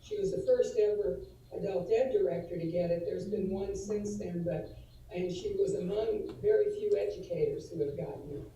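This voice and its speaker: A woman, slightly hoarse from allergies, giving an earnest tribute at a virtual school board meeting, with frequent fillers and restarts. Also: on the low side, normal speed, dark tone, a little hiss or hum. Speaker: adult education administrator presenting to school board. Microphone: video call. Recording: echoey room, distant from the mic, faint ambience.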